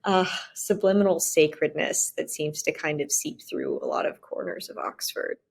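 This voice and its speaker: A woman's voice: sits low, huge pitch swings, normal speed, gravelly, breathy edge. A young woman chatting casually as a podcast guest, with thoughtful, wry and slightly wordy phrasing. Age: young adult. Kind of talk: casual chat.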